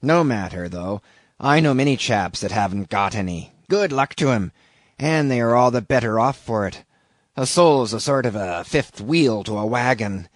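A man's voice: mid-range voice, lively intonation, moderate pace, no echo, natural voice. Guy doing a creepy old sailor from a sea story, ominous n mocking, pushy emphatic old-time talk, dry sound. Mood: cryptic, scornful. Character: shabby old sailor, ominous, raving, derisive stranger. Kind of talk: audiobook character reading.

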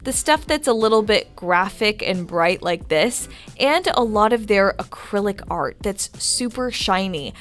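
A woman interior designer talking casually and upbeat as she walks through a home decor store, giving shopping tips in a voice-over. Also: medium pitch. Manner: upbeat, chatty recommendations. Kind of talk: shop-with-me voice-over.

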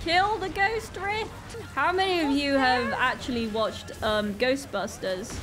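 A woman's voice: sits high, measured pace. A young woman with a Northern Irish accent, sick, doing flustered casual commentary over a game she is new to. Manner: flustered, exclaiming often. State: sick, voice a bit off. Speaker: gaming YouTuber. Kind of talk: casual let's-play commentary.

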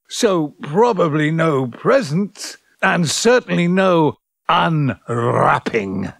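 Brief: self-pitying, reflective man in audio drama; swooping, unhurried delivery, halting and theatrical. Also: high-pitched, gravelly, no echo, clean studio sound, heavily acted. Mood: anguished, exclamatory. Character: rueful, self-pitying man recalling old love affairs. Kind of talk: intimate audio drama monologue and dialogue.

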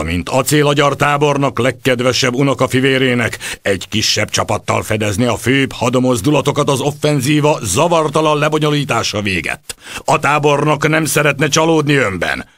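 Game briefing narrator: stern army official, middle pitch, measured, close dry recording. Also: male voice, lively intonation, a bit bright, dry recording. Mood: quietly menacing. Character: formal, menacing military briefing narrator.

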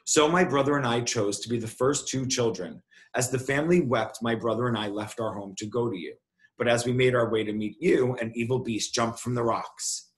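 A man voicing a frightened, flattering little girl in a storybook, pleading and telling her tale dramatically. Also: mid-range voice, moderate pace, close to an everyday voice, rough recording. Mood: dramatic, pleading. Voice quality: quivering.

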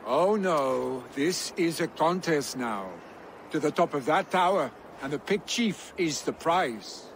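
A young adult actor playing a brash, competitive Viking warrior in a video game, swaggering and bantering. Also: male voice, a little high, lively intonation, takes it slowly, a little hiss or hum. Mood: teasing. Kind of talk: video game cutscene acting.

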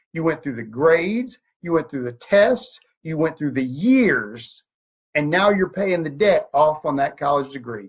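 A man's voice: on the high side, slightly rough. A man of thirty-nine giving an emphatic motivational talk to a webcam from a home office, full of rhetorical questions. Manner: emphatic, animated hand gestures, rhetorical questions. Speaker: network marketing leader. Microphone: webcam at desk. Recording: dull lo-fi webcam audio.

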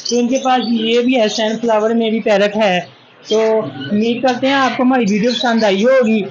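A man's voice: very high-pitched, fairly even intonation, moderate pace, a crowd in the background. A man with a Pakistani accent hosting a casual market tour, asking prices and repeating the answers back. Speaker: vlogger interviewing a shopkeeper. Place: busy bird market shop. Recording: noisy lo-fi market recording with bird sounds.

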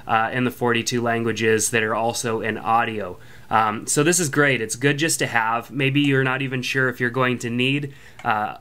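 A man's voice: medium pitch, clean tone. A man hosting a podcast, casually walking through an app review with lots of ums and uhs. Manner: relaxed, filler-heavy, friendly. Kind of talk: casual product review voice-over.

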